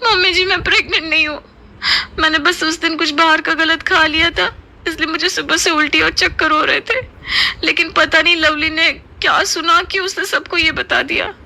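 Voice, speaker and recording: A woman's voice: very high-pitched, steady tone, moderate pace, bright, forceful tone, full character voice. A woman voicing a meek, apologetic young daughter-in-law in a cartoon, plaintive and pleading. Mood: tearful, apologetic. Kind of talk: Hindi cartoon moral-story voice acting.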